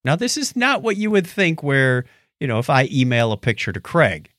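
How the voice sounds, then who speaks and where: A man's voice: medium pitch, big pitch swings, moderate pace, fairly bright tone, no echo, studio quality. A man co-hosting a podcast, chatting casually through science news with jokey, wry asides. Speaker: podcast co-host. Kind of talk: casual science news chat, paraphrasing articles.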